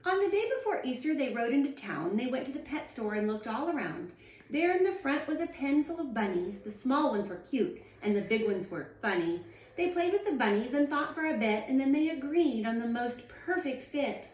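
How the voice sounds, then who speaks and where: A woman, a teacher in her thirties, reading a children's picture book aloud at home in a sing-song, expressive way. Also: high-pitched, moderate pace. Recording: echoey room, voice a little far from the mic.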